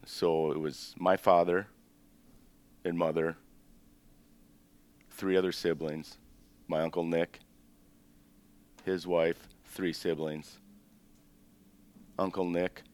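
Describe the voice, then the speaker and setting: A man in his fifties, born in Greece and raised in the United States, telling his family's story as a restaurant-owner guest in a relaxed, rambling way with many ums. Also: sits low. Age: 50s. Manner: relaxed, rambling, with frequent ums and self-corrections. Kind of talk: casual interview storytelling.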